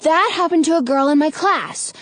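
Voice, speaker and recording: A woman voicing an excitable, curious young boy in a storybook, blurting things out eagerly. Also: high-pitched, moderate pace, no room sound, pitched above the performer's own voice, close to an everyday voice.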